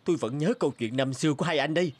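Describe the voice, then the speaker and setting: A man voicing a poor, patient guitarist who turns fisherman in a fairy-tale cartoon, with expressive, exclamatory delivery. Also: a little high, racing, lightly acted. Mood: content.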